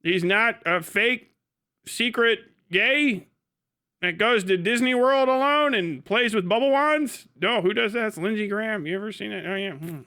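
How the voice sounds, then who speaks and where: High-pitched, bright-voiced sarcastic man. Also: normal speed, clean tone. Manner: sarcastic, acts out imagined conversations in different voices. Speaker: live stream host. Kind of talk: casual profane political commentary.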